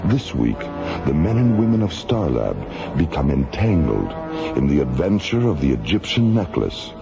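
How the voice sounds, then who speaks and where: A man delivering dramatic scripted radio narration as announcer and storyteller, grand and deliberate. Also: a bass voice, big pitch swings, gravelly. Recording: lo-fi radio recording, loud music bed.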